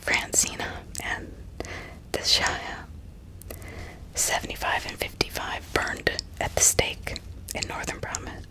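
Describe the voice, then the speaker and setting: A woman narrating true crime in a breathy ASMR whisper, deliberate and often repeating words and phrases. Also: poor audio. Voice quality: breathy, mostly unvoiced whisper. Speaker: ASMR host.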